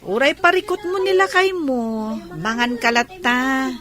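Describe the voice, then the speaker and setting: Radio drama casting: nosy, motherly girlfriend played by a woman, very high voice, unhurried, Ilocano accent. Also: expressive, a bit bright. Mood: coaxing.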